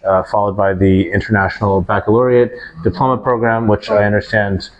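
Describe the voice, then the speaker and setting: A man in his forties with a lowish voice speaks as a podcast guest. He talks like an educator, thoughtful and measured. He weighs each point before moving on. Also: little pitch movement, highs cut off. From United States. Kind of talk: casual podcast interview.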